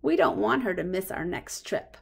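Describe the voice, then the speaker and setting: A woman reading a picture book aloud for young children in a simple, expressive way. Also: mid-range voice, moderate pace, gravelly, slightly rough. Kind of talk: children's storybook read-aloud.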